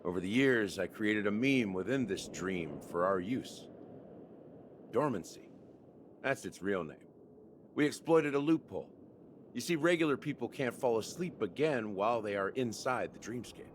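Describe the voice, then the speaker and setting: An actor playing a secretive man who solemnly lays bare the dark history of a dream world in a game cutscene. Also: lowish voice, lively intonation, slight background noise, natural voice. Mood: grave. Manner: measured, solemn explaining. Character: grave schemer revealing a dreamland's dark secret. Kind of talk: video game cutscene dialogue. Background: game music.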